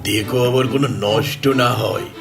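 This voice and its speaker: A man's voice: fairly low pitch, steady tone, fairly quick, creaky. A man playing a greedy, bossy trader who scolds and orders people about in a children's cartoon. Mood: scheming, bossy. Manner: scolding, commanding. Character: gruff, greedy adult male trader hoarding goods, bullying children. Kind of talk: cartoon voice acting. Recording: music behind the voice.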